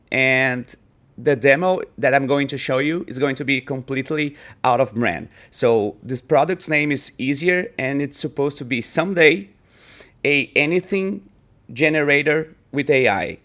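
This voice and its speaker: Founder demo read: Brazilian-accented man, mid-range voice, loose and halting, full of restarts. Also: mid-range voice, fairly bright tone. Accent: Brazilian Portuguese. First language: Portuguese. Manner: halting, many restarts and fillers. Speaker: startup founder demoing product. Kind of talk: casual screen-share product demo.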